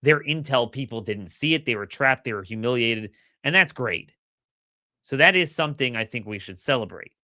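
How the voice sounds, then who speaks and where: A middle-aged man hosting a solo political commentary show, slightly hoarse, energetic and opinionated, often repeating words as he starts a sentence. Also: mid-range voice, normal speed, no echo, clean studio sound. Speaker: podcast host.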